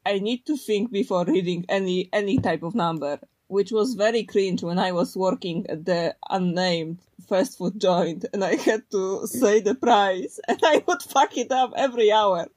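A woman's voice: medium pitch, normal speed, few pauses, darkish tone, dry recording. A woman from Poland, a native Polish speaker, chatting casually and unscripted as a podcast co-host in a slangy, blunt, playful way.

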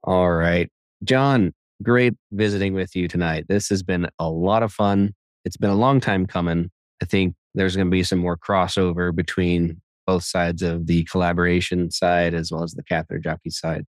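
A man's voice: sits low, fairly even intonation, normal speed, no room sound. A man in his thirties co-hosting a podcast, joking with his co-host and wrapping up the episode in an upbeat way. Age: 30s. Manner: teasing, upbeat, wraps up the show. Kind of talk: podcast conversation.

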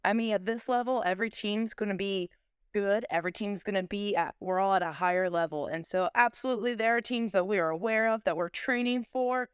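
A woman's voice: mid-range voice, a little fast, clean tone, dry recording, studio quality. A woman speaking as a sports coach in an interview, with emphatic, repetitive, driven motivational phrasing.

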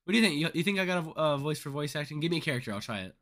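A young man, a high school senior, chatting casually with friends, laid-back and laughing often. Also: lively intonation, racing, a bit bright. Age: young adult. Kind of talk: casual chat among friends.

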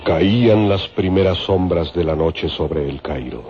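Deep-voiced male radio serial narrator. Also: a little slow. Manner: solemn, descriptive storytelling. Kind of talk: dramatic scripted narration. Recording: dull radio recording with music.

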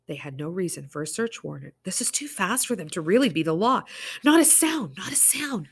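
A woman's voice: lowish voice, expressive, fast, gravelly, husky, heavily acted. A woman reading as a frightened, protective mother. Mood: urgent whisper. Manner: urgent hushed whispering.

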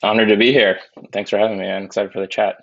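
A man's voice: lowish voice, little pitch movement, quick pace, gravelly. A young man born in Spain and raised in New York, a podcast guest talking casually and thoughtfully, with many restarts and fillers, on a dull, lo-fi recording. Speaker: podcast guest, climate investor. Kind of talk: casual interview talk. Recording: dull dry lo-fi sound.

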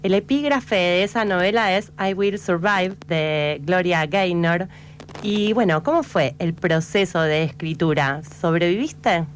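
A woman interviewer asking questions in a relaxed, conversational way on a radio show. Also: on the low side, unhurried, slight background noise.

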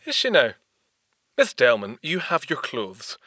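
A polite, restrained British gentleman of the 1930s in an audio drama. His voice is bright and lively in pitch, with formal phrasing and a close, dry sound. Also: unhurried, dry recording, heavily acted. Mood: coolly indignant. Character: reserved, courteous 1930s English gentleman inventor. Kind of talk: full-cast audio drama acting.

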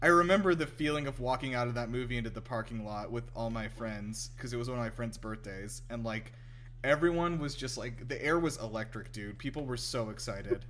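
A young man hosting a podcast, chatting casually about films in a halting, rambling, informal way. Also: mid-range voice, a bit bright, slight background noise. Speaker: podcast host. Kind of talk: casual chat, informal film review.

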